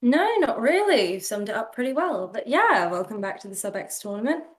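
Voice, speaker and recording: A woman's voice: fairly high pitch, sing-song, brisk. A woman co-commentating on a livestreamed tournament in a casual, conversational style. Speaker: esports co-commentator.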